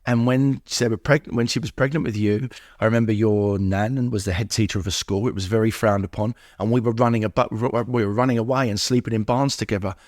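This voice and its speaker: A man's voice: lowish voice, fairly even intonation, a little fast, few pauses, darkish tone, dry recording, studio quality. Middle-aged man telling his story. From England. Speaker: podcast guest. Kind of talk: personal recovery storytelling.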